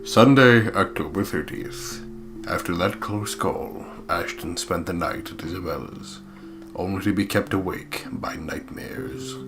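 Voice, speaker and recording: A man's voice: low-pitched, lively intonation, a little slow, creaky. A man co-hosting a let's play, switching between sweary, joking banter and reading visual novel narration aloud. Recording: background guitar music under the voice.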